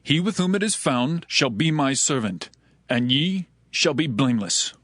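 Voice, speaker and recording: Man acting authoritative, animated Egyptian steward. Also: medium pitch, unhurried, no echo, studio quality. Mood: firm, judging. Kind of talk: dramatized Bible audio acting.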